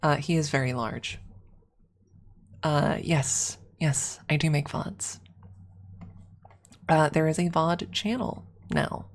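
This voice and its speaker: A woman streamer chatting casually and softly on an ASMR livestream, with a husky voice. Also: low voice, slow pace, long pauses.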